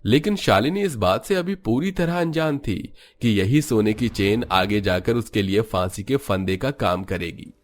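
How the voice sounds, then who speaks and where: A man's voice: on the low side, lively intonation, normal speed, few pauses, a little hiss or hum. A man narrating a Hindi cartoon story in a scripted storytelling style.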